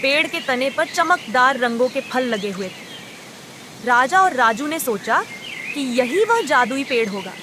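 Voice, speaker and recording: A woman narrating a cartoon story in a clear, steady storytelling manner. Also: high voice, unhurried, background noise.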